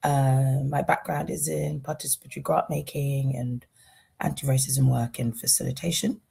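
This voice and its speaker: A woman from Zambia opens an online session on a video call and lays out the agenda in a calm, organized way. Her voice is very husky and gravelly with a dark, mellow tone. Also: very deep voice, normal speed. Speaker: fund lead and facilitator. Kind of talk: online info session introduction.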